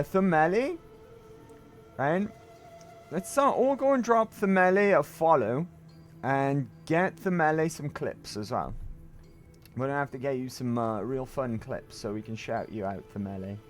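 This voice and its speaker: A man with a croaky voice casually chatting to viewers while playing a game on a livestream, breaking into sudden exclamations. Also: medium pitch. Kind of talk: casual gameplay livestream chat.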